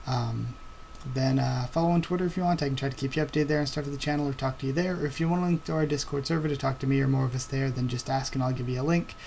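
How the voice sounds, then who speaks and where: A young man reacting live to a new manga chapter, excitable and thinking aloud with stammering restarts. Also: medium pitch, rapid-fire, creaky, hardly any pauses, slight background noise.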